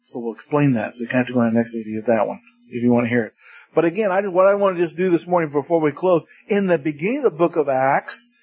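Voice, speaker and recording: A man's voice: a little high, husky. A man preaching a conversational teaching sermon to a congregation, often asking them questions and joking in passing. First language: English. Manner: conversational, asks the audience questions, jokes in passing. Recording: dull lo-fi recording.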